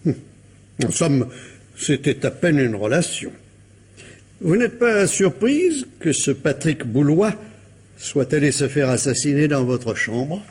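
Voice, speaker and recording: An actor playing a probing, sceptical police commissioner in a radio mystery drama, formal, ironic and insistent. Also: male voice, on the high side, big pitch swings, takes it slowly, darkish tone, breathy edge, exaggerated voice.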